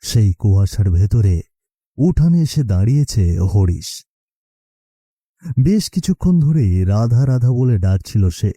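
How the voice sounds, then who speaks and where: A man's voice: medium pitch, sing-song, measured pace, pauses often, dark tone, husky, no room sound, studio quality. A man narrating a scripted horror story as a storyteller.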